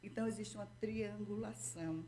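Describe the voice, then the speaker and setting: A Brazilian woman talks in a steady, teacherly way, often pausing to check her listener is following. Her pitch sits in an ordinary middle range. A faint hum runs under the recording. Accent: Brazilian Portuguese. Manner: didactic, checks in with frequent "tá?" and "entendeu?". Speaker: guest therapist. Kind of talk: explanatory interview talk.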